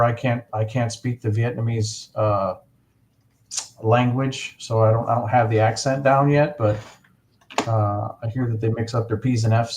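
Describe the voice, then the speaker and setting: A man's voice: lowish voice, slight background noise. A man hosting a casual livestream, chatting enthusiastically and rambling with lots of fillers. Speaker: livestream host.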